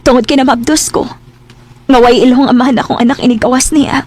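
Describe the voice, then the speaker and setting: A woman's voice: high-pitched, fairly even intonation, fairly quick, a little mellow. An actress playing a tender, emotional young country woman in a radio drama, with a Cebuano accent. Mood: sad, apologetic. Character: young rural woman in love, pregnant, abandoned, tender and tearful.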